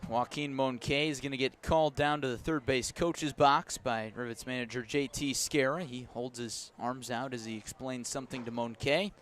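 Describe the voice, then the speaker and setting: Man, American accent, medium pitch, baseball radio announcer, live play-by-play, conversational asides, outdoor ballpark broadcast. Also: steady tone, moderate pace, few pauses, fairly bright tone.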